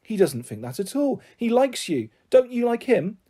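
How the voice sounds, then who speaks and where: Woman doing a little girl in an audiobook, pleading and stubborn, pitched up high, soft-edged tone. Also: sing-song, moderate pace, breathy edge. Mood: earnest, pleading. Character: young girl Beauty, stubborn, pleading, emotional.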